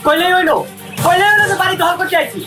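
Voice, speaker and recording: A man acting a high-pitched, flustered village father. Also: rapid-fire, music in the background. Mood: indignant. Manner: flustered, repeats phrases. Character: excitable, cowardly village father, indignant and fearful. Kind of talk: comic village drama acting.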